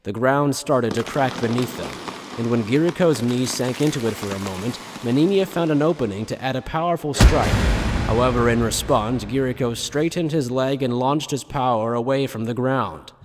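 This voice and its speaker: A man's voice: medium pitch, normal speed, background noise. A man reading scripted dramatic narration for an anime fan dub.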